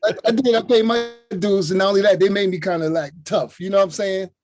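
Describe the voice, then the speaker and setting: A man's voice: high voice, fairly even intonation, quick pace, a little mellow, no echo, studio quality. An older man from Newark, a retired coach, telling easygoing stories about his childhood over a video call. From Newark, New Jersey. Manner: easygoing, leans on "you know what I'm saying". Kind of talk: relaxed storytelling.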